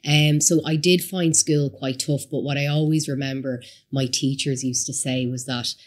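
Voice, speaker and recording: A woman's voice: very deep voice, steady tone, moderate pace. A woman in her thirties from Somalia, with a Dublin accent, telling her life story as a guest in a casual interview. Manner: chatty, candid storytelling. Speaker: guest entrepreneur.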